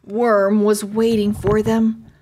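A woman narrating a children's chapter book aloud, with careful, expressive storybook phrasing. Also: mid-range voice, slow. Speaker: read-aloud host and narrator.

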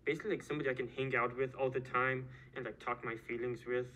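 A man's voice: mid-range voice, fairly even intonation, fast, pushed and bright, rough recording. Hesitant, rambling eighteen-year-old man. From California. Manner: rambling, self-deprecating, hesitant fillers. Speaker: dating show contestant. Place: studio with dark backdrop. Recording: studio clip with music underneath.

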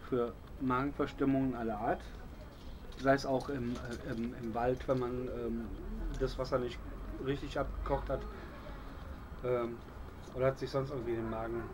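A middle-aged man talks slowly and haltingly as he walks through his gear on an outdoor patio. His voice sits at an ordinary pitch. Clear outdoor noise and birdsong run behind him on the camera mic. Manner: relaxed, hesitant, many fillers. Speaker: bushcraft video host. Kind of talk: casual show-and-tell.

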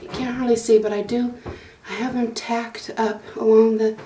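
A woman's voice: a little high, little pitch movement, normal speed, mellow, a little hiss or hum. A middle-aged woman, giving a rambling, homespun tour of her small wooden goat barn with lots of fillers and restarts. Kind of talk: casual walkthrough narration. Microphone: handheld phone camera.

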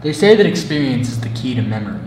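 A man's voice: mid-range voice, big pitch swings, fast talker, a little mellow, a little hiss or hum, lightly acted, rough recording. A man acting as a theatrical, pompous school elder with a British accent in an amateur comedy skit. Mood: grandly chatty. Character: elder, undead former student cursed to answer history questions.

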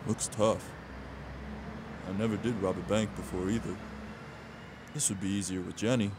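A man's voice: on the low side, fairly even intonation, moderate pace, background noise, natural voice. A man playing a dim, good-natured crook's sidekick in an audio drama, with a naive, casual manner. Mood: unsure. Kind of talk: audio theater acting.

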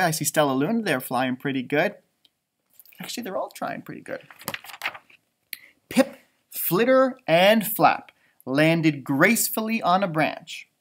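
A man in his thirties reading a picture book aloud to children like a teacher, expressive and dramatic, adding chatty asides. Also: fairly high pitch. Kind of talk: animated storybook read-aloud with asides. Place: indoor library room with bookshelves.

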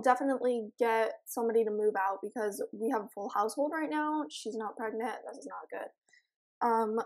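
A young woman with a slightly high, raspy voice gives relaxed let's-play commentary into a desk mic. She rambles, giggles and fills her pauses with ums. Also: rough recording. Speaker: gaming channel host.

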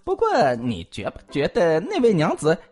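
A man's voice: on the high side, huge pitch swings, normal speed, darkish tone, slightly rough, heavily acted. A man voicing a talkative, joking young male physician who gossips and pleads comically in a multi-cast audio drama. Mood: gossipy. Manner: bantering, giggly, exaggerated pleading.

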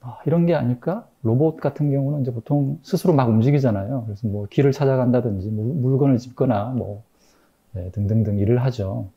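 A man in his forties giving a public lecture from a lectern, thoughtful and hesitant with many fillers and pauses. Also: mid-range voice, lo-fi sound. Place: gallery lecture room with projector. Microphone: microphone stand at lectern.

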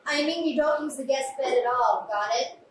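A woman's voice: high-pitched, moderate pace, close to an everyday voice. Bossy, uptight older sister played by a young woman for a stage reading; clipped scolding, recorded far off with faint noise. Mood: stern. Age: young adult. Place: small café, indoors, live reading. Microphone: far camera microphone. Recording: echoey, distant room recording, faint noise.

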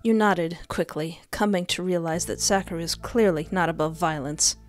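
A woman's voice: lowish voice, normal speed. Playful young woman streaming a horror game. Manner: playful, cheeky, sassy. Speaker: streamer, narrator. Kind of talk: let's play, reading visual novel aloud with playful reactions. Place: indoors at a desk. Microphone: headset and close desk microphone. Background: faint game music.

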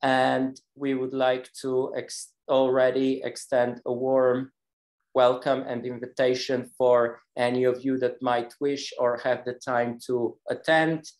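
Flat-toned male presenter, halting delivery. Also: mid-range voice. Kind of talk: slide presentation at an online meeting. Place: meeting room, on a video call.

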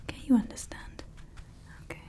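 A woman voicing a doting female evil scientist in an ASMR roleplay, whispering softly close to the mic and fussily repeating her words. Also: fairly quick, lightly acted. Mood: fussy, doting. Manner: soft whispering, repeats words fussily, calls listener darling. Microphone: close ASMR microphone.

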